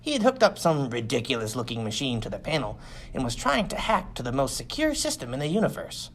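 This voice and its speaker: A man reads a first-person space adventure as an audiobook narrator. His pitch moves around freely and expressively, and his voice has a gravelly, creaky edge. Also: huge pitch swings, brisk, a bit bright. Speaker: audiobook reader. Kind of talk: scripted first-person sci-fi story narration.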